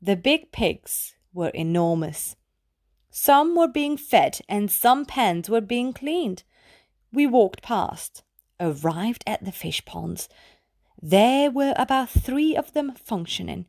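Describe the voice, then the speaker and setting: Woman reading aloud, lively intonation, dry sound. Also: fairly high pitch, slow, dry recording. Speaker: reader of children's poetry. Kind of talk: scripted read-aloud poetry narration.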